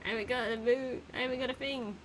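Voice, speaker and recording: A woman's voice: high-pitched, fairly even intonation, normal speed, a little hiss or hum. A woman giving casual live gameplay commentary, playful and giggly, often muttering to herself. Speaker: streamer playing a video game.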